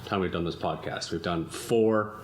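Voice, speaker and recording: A man's voice: low voice, moderate pace. A man hosting a casual podcast among friends, rambling and laughing often. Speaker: podcast host. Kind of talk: casual chat. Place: room with friends. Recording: echoey room, faint ambient noise.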